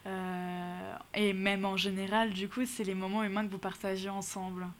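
A woman's voice: medium pitch, level tone, normal speed. Woman interviewer, faint background hiss. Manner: brief, friendly questions and short acknowledgements.

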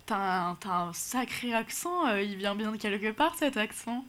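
A woman's voice: mid-range voice, lively intonation, moderate pace, fairly bright tone. A woman interviewing a musician, asking short, friendly questions.

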